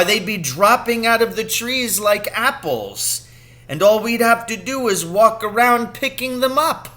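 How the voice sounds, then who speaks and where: Man acting a bright-voiced, excitable, affectionate father. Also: high voice, voice pushed up, full character voice. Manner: exclamatory, fond endearments. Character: excitable, dreamy, affectionate father scheming at poaching.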